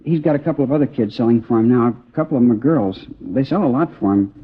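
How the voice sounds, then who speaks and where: An actor playing a seventeen-year-old boy, talking in a casual, slangy, halting way in an old radio crime drama. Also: mid-range voice, breathy edge, close to an everyday voice. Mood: hesitant, matter-of-fact. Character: seventeen-year-old boy informant, hesitant but cooperative. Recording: dull old radio recording.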